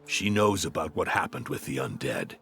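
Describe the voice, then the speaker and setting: An actor playing a brusque, gruff hunter in a fantasy video game, blunt and wry. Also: male voice, rumbling low, sing-song, normal speed, a bit bright, soft background music, exaggerated voice. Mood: confident. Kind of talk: video game cutscene acting.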